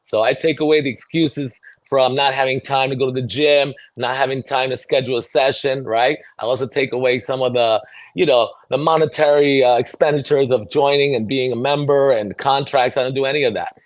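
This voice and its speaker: A man's voice: medium pitch, steady tone, fairly quick, no room sound. Middle-aged man, close dry sound, earnest. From New York City, born in El Salvador. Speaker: podcast guest, mobility fitness trainer. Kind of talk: conversational interview answers.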